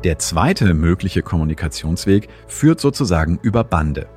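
Deep guy explaining science, really varied melody, faint music under him. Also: lively intonation, moderate pace, darkish tone. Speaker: host and science presenter. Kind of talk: scripted narration, science explainer.

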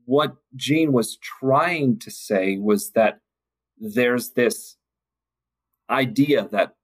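A man as a podcast guest, reflecting in conversation with halting, deliberate and emphatic phrasing. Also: medium pitch, husky.

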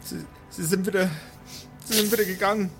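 A man's voice: high voice, steady tone, brisk, music in the background, voice pushed up. Man playing a shaken, stammering survivor. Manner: stammering, repeating phrases.

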